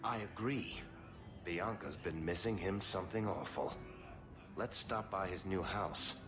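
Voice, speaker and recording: A man's voice: deep voice, unhurried. A man voicing a wry, sardonic male dwarf merchant in a video game cutscene. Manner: sardonic, dry humor. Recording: game cutscene with music behind.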